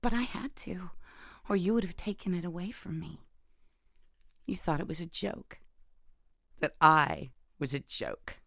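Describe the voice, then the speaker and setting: Hurt bitter wife in prison, gravelly fry, halting n intimate, dramatic radio play monologue, really dry recording. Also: female voice, on the low side, no echo, exaggerated voice. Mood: coldly vengeful. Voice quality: gravelly, creaky. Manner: halting, deliberate, intimate, emotionally charged. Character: bitter, wounded imprisoned wife who killed her abusive husband. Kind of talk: dramatic audio drama monologue, read as a letter.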